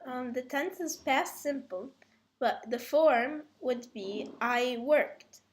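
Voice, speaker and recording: Slow-paced female student, slightly high voice. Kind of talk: answering and reading aloud in class. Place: indoor classroom.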